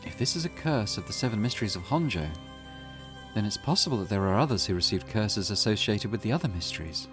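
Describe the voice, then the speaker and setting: A man voicing a cautious, protective teenage schoolgirl who explains things in a measured, earnest way in a visual novel. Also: fairly low pitch, expressive, lightly acted. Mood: thoughtful warning. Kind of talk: character voice reading a visual novel. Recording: music in the background.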